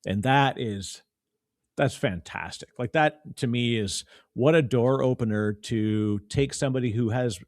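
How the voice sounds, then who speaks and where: A man's voice: mid-range voice, measured pace, no room sound, clean studio sound. A man from Canada hosting a podcast, chatting casually and telling stories with animation and easy laughter. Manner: animated storyteller, laughs easily. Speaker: podcast host. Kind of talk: casual conversational podcast chat.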